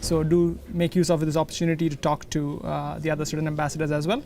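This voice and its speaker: A man in his thirties hosting a university webinar in a large library hall, friendly and measured with small fillers. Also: on the high side, flat delivery, a little mellow, slight background noise. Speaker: host.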